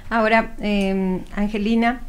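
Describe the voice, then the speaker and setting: A woman's voice: mid-range voice, level tone, measured pace, a little hiss or hum. A young woman interviewing guests conversationally as a radio host. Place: small radio studio with several people. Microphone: studio microphone on boom arm.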